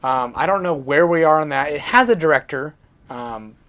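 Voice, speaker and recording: A man co-hosting a casual entertainment news discussion, speaking informally and a little haltingly with repeated words. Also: fairly high pitch, lively intonation, fairly bright tone. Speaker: co-host of a video game and movie discussion show.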